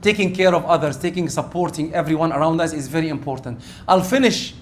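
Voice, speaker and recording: Middle-aged preacher, high voice, animated and rising to loud peaks. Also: male voice, fast, a little hiss or hum. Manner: animated, acts out quoted exchanges, rising loud when excited. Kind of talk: sermon.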